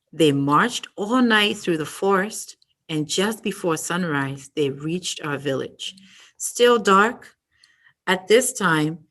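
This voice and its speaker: A woman reading a story aloud at story time, slow and careful. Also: fairly low pitch, fairly bright tone. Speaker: storytime reader. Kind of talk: reading a picture book aloud.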